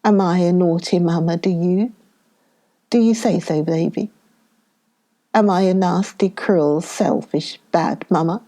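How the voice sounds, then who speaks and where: A woman's voice: fairly low pitch, unhurried, warm tone, close to an everyday voice. A woman voicing a young widowed mother, tearful and self-pitying, who pleads and reproaches. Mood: coaxing.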